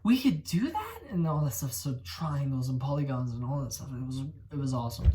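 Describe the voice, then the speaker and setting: A man in his twenties hosting a gaming channel, talking in casual commentary that rambles, gets excited and corrects itself. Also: mid-range voice, fairly quick, distant mic. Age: 20s.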